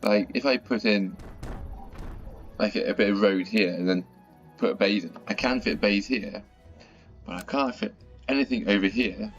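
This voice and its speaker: Croaky man narrating gameplay, low voice. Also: normal speed, pauses often. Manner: chatty, mildly exasperated at stuck buses. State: croaky with a sore throat. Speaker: gaming channel host. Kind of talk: casual gameplay commentary. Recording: dull lo-fi audio with faint music.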